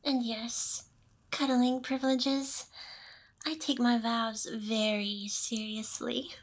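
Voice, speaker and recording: Slow, teasing demon boss lady, acted by a woman. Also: fairly high pitch, little pitch movement, rough recording. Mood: possessive, seductive. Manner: slow, teasing, seductive delivery with pauses. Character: smug, teasing, possessive ancient demoness boss. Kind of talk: intimate ASMR roleplay monologue.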